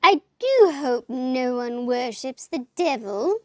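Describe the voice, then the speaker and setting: This is a woman's portrayal of a naive little angel of low rank in a comic puppet show. The voice sits high and moves about in animated leaps, close and dry. It is prim and earnest, easily flustered into indignation. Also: slow, no room sound. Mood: prim, indignant.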